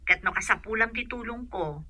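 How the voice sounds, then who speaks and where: Very bright-voiced caring friend played by an actress. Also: female voice, mid-range voice, fairly quick, lightly acted. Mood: friendly, concerned. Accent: Ilocano. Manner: solicitous, reassuring. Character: caring, supportive young woman friend. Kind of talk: Ilocano radio drama acting.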